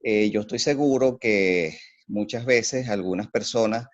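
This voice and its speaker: Male podcast co-host, somewhat deep, thinking aloud with deliberate, searching phrasing; slightly dulled, close dry sound. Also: dry recording. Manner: deliberate, halting, searching for words. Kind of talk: conversational opinion discussion. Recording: dry, slightly dull audio.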